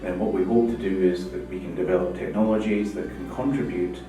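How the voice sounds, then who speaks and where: A middle-aged man, a professor, explaining his research project to camera in a measured way. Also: low voice, steady tone. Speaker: professor of communications and project coordinator. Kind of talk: interview to camera. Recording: echoey room from far off with music behind.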